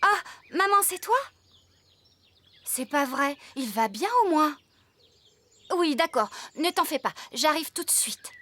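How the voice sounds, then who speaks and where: A high-pitched, bright voice for a young cartoon farmer. She is friendly and caring, speaking in an expressive, chatty and decisive way. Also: slow pace, long pauses, heavily acted. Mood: worried. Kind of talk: children's cartoon dub.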